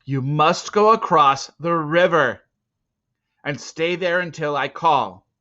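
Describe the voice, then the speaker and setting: A man's voice: fairly high pitch, slow, sharp tone, highs cut off, voice pushed up. A man voicing an earnest, loyal young hunter boy in a storybook, with an urgent, pleading manner. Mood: urgent commanding. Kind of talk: storybook character acting.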